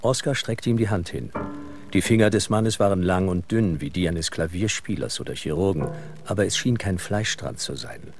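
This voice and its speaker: A man's voice: low voice, moderate pace. A man reading scripted narration for an audio drama. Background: music.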